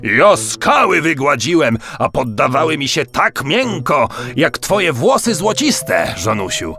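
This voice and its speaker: Brief: man playing a loud, bragging giant from a fairy-tale audiobook, forceful and slow. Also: sing-song, faint music behind, heavily acted. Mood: boastful. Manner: booming, bragging, drawn out. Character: boastful giant strongman, loud and proud.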